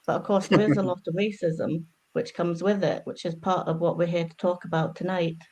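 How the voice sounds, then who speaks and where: A woman who grew up in Scotland, a guest on a podcast, calmly telling a personal story. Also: on the low side, brisk, rough recording. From Scotland, from about age ten. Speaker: guest, anti-racism consultant.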